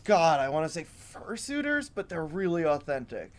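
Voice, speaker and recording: A woman improvising comedy banter in a questioning, incredulous and halting way. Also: sits low, big pitch swings. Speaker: comedy show performer.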